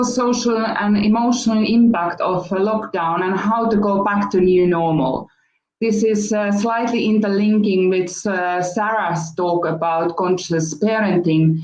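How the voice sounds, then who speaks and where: A woman from Finland with a Finnish accent, giving a measured webinar talk as a guest speaker on early childhood education. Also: mid-range voice, darkish tone, echoey room, poor audio. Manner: measured, with fillers.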